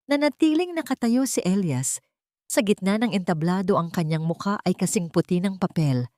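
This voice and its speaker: A woman narrating a scripted drama story in a measured, dramatic way. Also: medium pitch, darkish tone, dry recording, studio quality.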